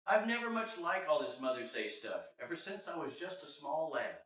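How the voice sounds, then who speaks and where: A man acts out an earnest young father from a storybook, pleading in rhyme. The voice is bright and swings widely in pitch, heard from a distance in a very echoey room. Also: sing-song, moderate pace. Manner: heartfelt, theatrical storybook delivery.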